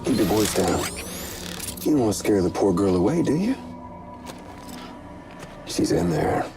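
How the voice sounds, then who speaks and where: An actor voicing a theatrical, menacing game villain with a drawl, mockingly sweet and taunting. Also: male voice, on the low side, sing-song, measured pace, music in the background. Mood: mocking menace. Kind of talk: dramatic video game acting.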